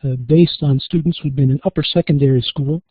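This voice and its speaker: An American-accented man presenting in a webinar, measured and pausing with frequent fillers, on tinny phone-like audio. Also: fairly high pitch, fairly even intonation, dry recording. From USA. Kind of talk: presentation talk.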